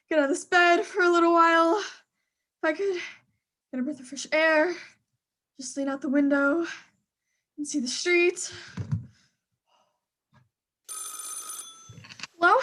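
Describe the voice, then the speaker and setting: A woman's voice: very high-pitched, pushed and bright. A woman playing a fretful, imperious bedridden wife in a suspense radio play, insistent and agitated. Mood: frantic, impatient.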